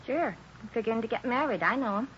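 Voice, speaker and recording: Nosy naive young gal, highish voice, chatters fast, old radio drama. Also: female voice, animated, a bit bright. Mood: smitten, breezy. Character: chatty, naive young woman smitten with her boyfriend. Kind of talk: 1950s radio acting. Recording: dull, noisy 1950s radio recording.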